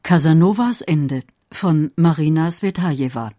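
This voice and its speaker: A woman delivers a scripted radio announcement. Her voice is dark and mellow, a little low, and very dry in sound. She speaks slowly and formally. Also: no room sound. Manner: measured, formal.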